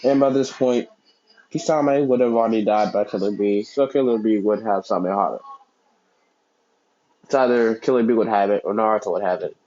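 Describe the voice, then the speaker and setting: Deep-voiced young man rambling a fan story. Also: normal speed, mellow, muffled recording. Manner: rambling, self-correcting, many fillers. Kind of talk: casual unscripted storytelling.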